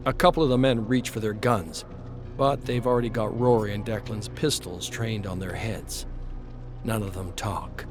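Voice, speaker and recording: A man narrating a dramatic western story in a terse, brooding way with weighted pauses. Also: on the low side, fairly even intonation, music in the background.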